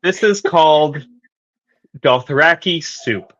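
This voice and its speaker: A woman chatting as a panel guest about fan theories in a playful, theatrical storytelling way with dramatic pauses. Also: low voice, muffled recording. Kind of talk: casual fan theory discussion.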